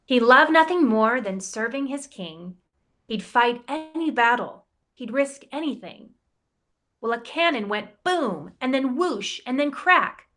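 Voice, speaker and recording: A woman who directs campus ministry reads a rhyming picture book aloud, playful and expressive, giving the quoted lines a voice of their own. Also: a little high, measured pace. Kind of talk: storybook read-aloud in rhyme.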